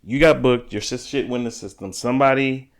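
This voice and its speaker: A young man co-hosting a casual chat, animated and joking as he rushes through plot summaries. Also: mid-range voice. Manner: animated, joking, retells plots in a rush. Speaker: podcast co-host. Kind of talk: casual chat about games and movies.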